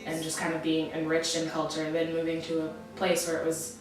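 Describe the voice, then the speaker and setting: A teenage woman from Massachusetts answering interview questions, hesitant with many pauses and ums. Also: deep voice, monotone. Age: teens. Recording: echoey room from far off, music behind.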